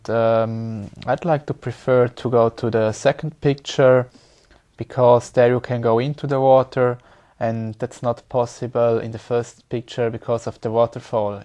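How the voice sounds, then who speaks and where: Young man, exam candidate, hesitant, repeats words, mid-pitched voice. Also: darkish tone. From Switzerland. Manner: hesitant, repeats words while searching for them. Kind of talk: speaking exam answers.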